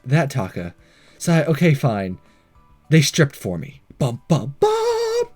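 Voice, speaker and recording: A man's voice: on the high side, wildly swooping pitch, takes it slowly, darkish tone, husky. A man hosting a let's play, reading visual novel dialogue aloud in a playful, theatrical way with joking asides. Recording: game music behind.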